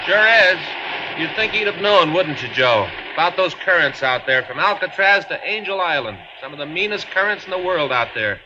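An actor playing a steady detective partner who talks in a plain, easygoing way, in an old radio drama with surf behind him. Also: male voice, on the high side, normal speed, noisy background, close to an everyday voice. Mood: cautious. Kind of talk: 1950s radio drama acting. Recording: dull old radio transcription with crashing surf.

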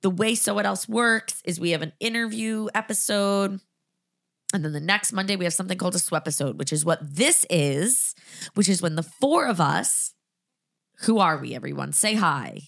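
Hoarse, nasal woman podcast host. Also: lowish voice, expressive, a bit bright. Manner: chatty, self-deprecating, quick asides. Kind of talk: casual chat.

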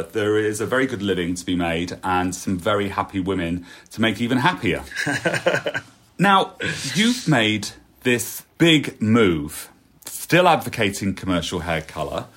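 A man hosts a relaxed conversation in a slightly low voice. He muses aloud and often ends points with a questioning tag, inviting his guest to agree. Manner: reflective, asks tag questions. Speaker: podcast host. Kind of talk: conversational interview.

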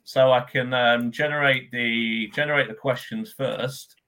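A man with a voice of ordinary pitch, a guest on a live online show who is showing a demo. He talks in a polite, friendly and casual way. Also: fairly even intonation, a little slow, sharp tone. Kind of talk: casual live-stream chat.

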